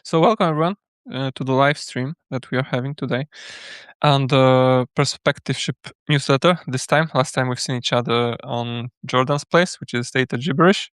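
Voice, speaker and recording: A man's voice: medium pitch, normal speed, a bit bright, clear, resonant tone, no room sound, studio quality. A man hosting a casual live interview, introducing his guest and putting questions to him. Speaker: livestream host and interviewer.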